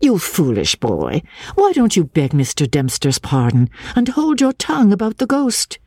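A storybook reader playing a brisk, sharp-witted young gentlewoman with an emphatic, mocking manner. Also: medium pitch, wildly swooping pitch, no room sound, studio quality, voice pushed up. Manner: emphatic, scornful questioning. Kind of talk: audiobook character dialogue.

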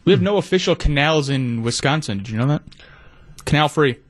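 A man with an American accent in the sidekick seat of a radio show. His voice is a little higher than average, and he talks in loose, casual banter. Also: expressive, brisk.

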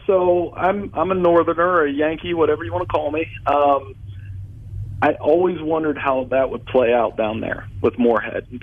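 A man in his forties from Southeast Ohio, a sportswriter guest on a radio show, talking animatedly with frequent restarts over the phone. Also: medium pitch. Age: 40s. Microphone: phone call. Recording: phone line, husky.

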